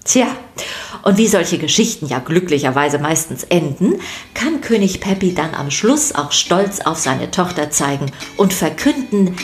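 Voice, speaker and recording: Low-pitched woman narrating for children. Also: big pitch swings, a little slow, faint music behind. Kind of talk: scripted children's storytelling narration.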